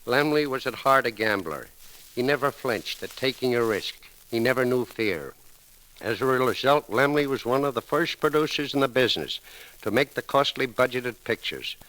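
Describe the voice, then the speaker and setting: A man's voice: medium pitch, pushed and bright. A man with an American accent reading a formal eulogy on 1930s radio in a solemn, oratorical way. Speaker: newspaper editor giving a eulogy. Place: radio broadcast. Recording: crackly, sizzling 1930s radio recording.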